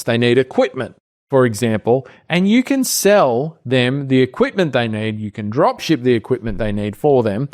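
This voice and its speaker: Australian man explaining with lively pitch. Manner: relaxed and explanatory, thinking aloud with fillers. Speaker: co-host of a business training show. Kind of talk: screen-share tutorial.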